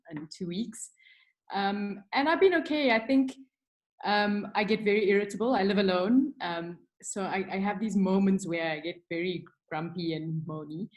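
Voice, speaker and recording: A middle-aged South African woman, a creative director, chatting candidly as a webinar guest, with many ums and restarts. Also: mid-range voice. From South Africa (Durban). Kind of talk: casual webinar chat. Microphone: video call.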